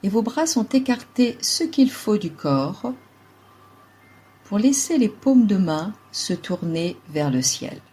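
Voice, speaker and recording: A woman guides a relaxation meditation as a yoga nidra teacher, giving calm, measured instructions in short phrases. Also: medium pitch, husky.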